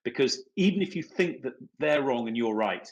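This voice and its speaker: Middle-aged man, British accent, emphatic and animated, conversational storyteller who doubles back on words. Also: mid-range voice, moderate pace, highs cut off. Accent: British English. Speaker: company executive on livestream. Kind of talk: casual anecdotal chat.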